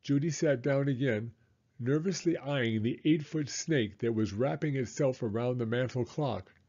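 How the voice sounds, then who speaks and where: A man reading a children's storybook as an expressive, dramatic narrator. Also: medium pitch, lo-fi sound.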